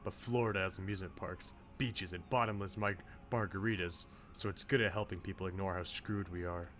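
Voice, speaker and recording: A man reading a brooding, self-sacrificing young man's inner thoughts from a visual novel, low and subdued. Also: fairly even intonation, brisk, fairly bright tone. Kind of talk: visual novel read-aloud.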